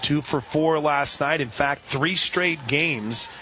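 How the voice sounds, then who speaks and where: A man calls a college softball game on the radio, giving counts, stats and sponsor reads in a steady, informative way. His voice sits a little high for a man. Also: slow pace, a little hiss or hum. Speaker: radio play-by-play announcer. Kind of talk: radio play-by-play commentary.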